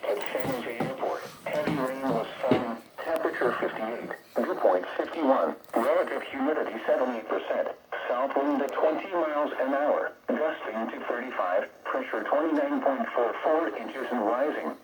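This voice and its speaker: A man's voice: mid-range voice, moderate pace, few pauses. Synthetic male weather radio voice. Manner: steady list-like reading of figures. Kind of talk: automated weather observation readout. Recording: noisy radio reception.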